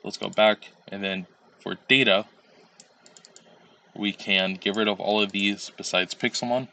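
A man's voice: fairly low pitch, steady tone, unhurried, creaky, pushed and bright. A man recovering from a cold, sounding a little nasal, walking viewers step by step through a screen-recorded tutorial with frequent ums and uhs. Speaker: tutorial creator.

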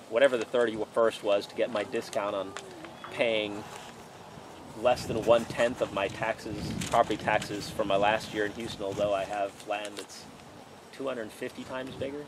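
A man's voice: medium pitch, background noise. A man in his forties, a pastor leading an outdoor sermon and Bible study, reading passages aloud and then preaching in a conversational way, recorded by a camera at a distance outdoors under a tent canopy.

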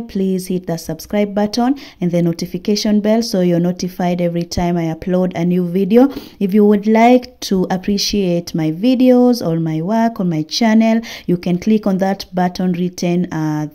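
A woman's voice: on the low side, mellow. A woman with a Kenyan accent giving a casual vlog voice-over as a homemaking and cooking vlogger.

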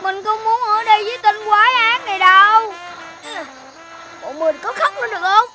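An actor voicing a childish, whiny little animal boy in a children's cartoon, with drawn-out delivery. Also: extremely high pitch. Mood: homesick, whining. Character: cartoon puppy and piglet boys, childish, whiny, eager. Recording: loud music behind.

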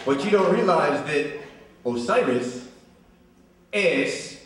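Hyped male announcer, high and lively. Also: takes it slowly, slight background noise. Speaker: announcer introducing the guest. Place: high school auditorium stage. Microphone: handheld microphone. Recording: echoey auditorium from far off, crowd.

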